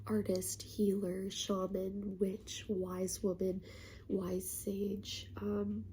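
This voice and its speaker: A woman with a cold and a stuffy, congested voice, talking softly and hesitantly in a half-whisper. She pauses on many ums, sounds self-conscious about her voice, and gives a casual spiritual talk as a tarot reader. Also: mid-range voice, monotone, a little hiss or hum, poor audio.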